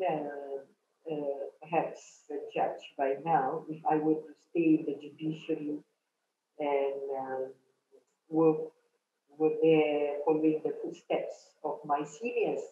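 Slow Malaysian woman over a call. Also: sits low. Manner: slow and reflective, many fillers. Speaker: guest. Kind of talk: interview answers over video call. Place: room with a leather sofa. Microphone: video call. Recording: echoey, phone-like video call audio with faint hiss.

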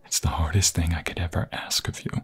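A man playing a lovestruck, pleading vampire fiancé in an intimate romantic ASMR roleplay, breathy and husky, often slipping into whispers, with halting emotional delivery. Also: deep voice, steady tone.

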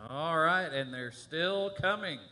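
A man's voice: a little high, slow, sharp tone. A man leading announcements at a church service, cheerfully calling people to come forward. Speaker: service leader. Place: church stage with band. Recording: live church hall with music.

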